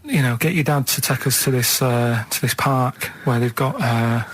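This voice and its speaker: A man's voice: mid-range voice, moderate pace, slight background noise, poor audio. Rambling man. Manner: rambling, plain-spoken, adds "right?" as tag. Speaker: radio show co-host. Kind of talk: casual anecdote telling.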